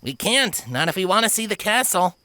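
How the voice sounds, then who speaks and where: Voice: mid-range voice, very animated, normal speed, bright, forceful tone, slight background noise, pitched above the performer's own voice. A storyteller voicing a brash young female pegasus filly in a fantasy audiobook. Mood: retorting.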